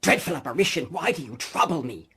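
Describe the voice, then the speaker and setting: A man's voice: a little high, expressive, fairly quick, a bit bright, breathy edge, voice pushed up, exaggerated voice. A man voicing a crusty, sceptical and shaken miser in a dramatic storybook reading, with an emphatic, theatrical delivery. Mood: frightened.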